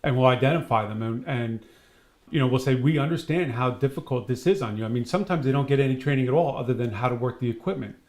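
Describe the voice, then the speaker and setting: A man of medium pitch talks as an interviewed trainer. He explains in a conversational way, often slipping into quoted dialogue to illustrate his points. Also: quick pace, a little mellow.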